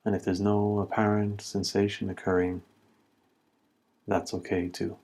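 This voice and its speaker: Low-voiced man guiding meditation, very even tone. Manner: calm, measured instructions in short, spaced phrases. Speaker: meditation instructor. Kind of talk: guided body scan meditation.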